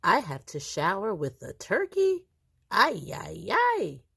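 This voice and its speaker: A woman's voice: mid-range voice, wildly swooping pitch, slow pace, gravelly, pushed and bright, husky, voice pushed up, full character voice. A woman voicing a teasing, comically grumbling old grandfather in a children's storybook. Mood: exasperated. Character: grumbling, joking old grandfather with Spanish phrases.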